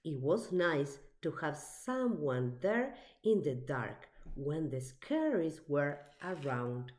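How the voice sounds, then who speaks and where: Animated woman reading a children's story slowly. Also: sits low. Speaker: storybook reader. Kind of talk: expressive read-aloud of a children's picture book.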